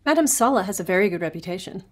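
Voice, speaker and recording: A woman's voice: medium pitch, expressive, very fast, lightly acted. An actress playing a polite, deferential female servant in an old-time radio drama.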